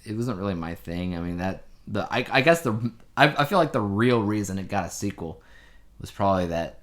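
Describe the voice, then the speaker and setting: A man's voice: fairly low pitch, lively intonation, a little fast. A man co-hosting a podcast, chatting casually in a rambling, opinionated way about the news. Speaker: podcast co-host.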